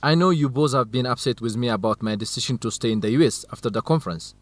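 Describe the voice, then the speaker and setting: A man's voice: medium pitch, fast talker, dry recording, close to an everyday voice. An actor playing an earnest young male university student in love, speaking carefully in a radio drama for English learners. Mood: calm, resolved.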